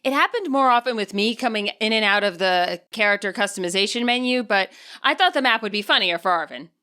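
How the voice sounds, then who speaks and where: A woman reading expressive scripted narration as the author and narrator of an audiobook. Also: mid-range voice, brisk.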